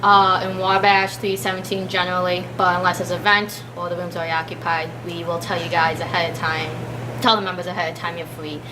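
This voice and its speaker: A young adult woman chats casually about her student club in an informal, halting, self-correcting way. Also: lowish voice, little pitch movement, noisy background. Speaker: student club member being interviewed. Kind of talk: casual chat.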